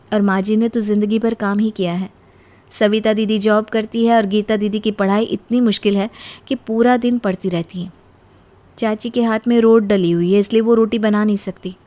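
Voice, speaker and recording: A sweet young daughter-in-law from a cartoon family story, acted by a woman. She sounds dutiful and earnest, with an expressive, grateful way of speaking at a middling pitch. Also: natural voice. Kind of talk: animated Hindi moral story voice acting.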